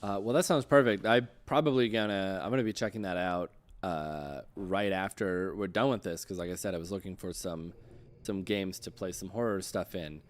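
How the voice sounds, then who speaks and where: A man with a low, smooth and resonant voice hosts a relaxed chat show interview. He talks informally, like someone in casual conversation with a guest. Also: normal speed. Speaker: show host interviewing a game designer.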